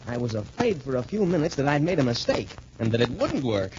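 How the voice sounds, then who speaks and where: An actor playing an earnest, modest young American cadet, polite and eager, in 1940s radio drama style. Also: male voice, mid-range voice, big pitch swings, a little fast, natural voice. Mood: earnest explaining. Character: earnest, modest, clever young male military cadet hero. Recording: muffled, noisy 1940s radio recording.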